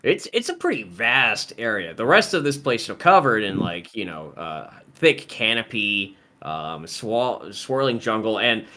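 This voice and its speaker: A man's voice: fairly low pitch, sing-song, measured pace, few pauses, pushed and bright. A man running a tabletop game as game master, rambling and circling back with lots of filler words. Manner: rambling, full of "uh" and "like". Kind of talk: narrating a tabletop game over voice chat.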